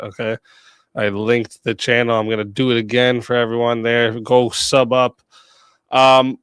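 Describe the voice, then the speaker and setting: Hoarse male stream host, smooth and dry. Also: mid-range voice, fairly bright tone, no echo. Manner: rambling, many fillers, thanks the chat at length. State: hoarse, getting sick. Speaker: debate show host and moderator. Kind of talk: casual livestream wrap-up.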